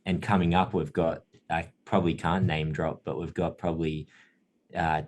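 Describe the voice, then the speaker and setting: Deep-voiced film marketer. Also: male voice. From Australia. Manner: relaxed, explanatory, laughs easily. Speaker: guest, film distributor marketing manager. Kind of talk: casual interview chat.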